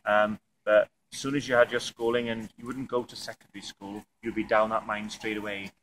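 A man's voice: lowish voice, level tone, fairly quick, a bit bright, poor audio. A Welsh man in his thirties, a native Welsh speaker, answering interview questions outdoors, hesitant with many fillers and restarts. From Wales. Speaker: tourism representative. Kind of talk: on-camera interview. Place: outdoor museum yard by stone buildings. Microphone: camera mic, outdoors. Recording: outdoor noise behind.

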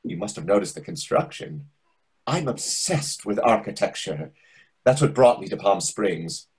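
A man's voice: fairly low pitch, sing-song, a little slow, rough recording. A middle-aged man playing a chatty, nosy, self-important waiter in a comedy play reading, pompous and insinuating. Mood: boastful. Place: indoors on a video call. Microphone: video call microphone.

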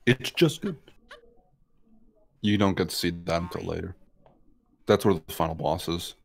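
A woman's voice: very deep voice, normal speed, vocal fry, slight background noise. A woman streaming herself playing a video game, chatting casually, swearing, laughing, and reading on-screen text aloud from her home setup on a headset mic. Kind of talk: casual let's-play commentary.

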